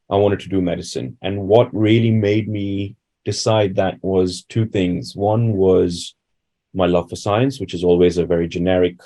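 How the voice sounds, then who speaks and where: A man's voice: low-pitched, dark tone. A man from India giving reflective, conversational answers as a doctor being interviewed. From Bangalore, India.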